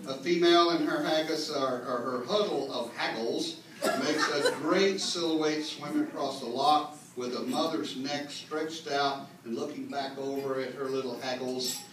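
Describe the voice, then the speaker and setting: In a heavily reverberant room, picked up from a distance over faint noise, a man delivers a humorous lecture. He explains things with mock earnestness and drops in folksy asides. His pitch sits in an ordinary male middle range. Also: fairly even intonation, measured pace. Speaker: speaker reading a humorous mock history at a clan meeting. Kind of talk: tongue-in-cheek comic lecture read to an audience. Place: indoor meeting room with live audience.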